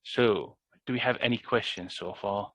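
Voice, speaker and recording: A man's voice: on the low side, normal speed, a bit bright, low-quality recording. A man, a GP, explaining a benefits form in an online workshop, with many fillers and restarts. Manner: hesitant, frequent restarts and fillers. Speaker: GP presenter. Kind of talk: explanatory presentation.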